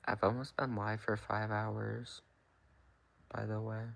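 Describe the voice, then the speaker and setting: Creaky, flat-toned young American man. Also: lowish voice, slow pace. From California, United States. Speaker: livestreamer. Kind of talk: casual livestream chat, replying to viewers.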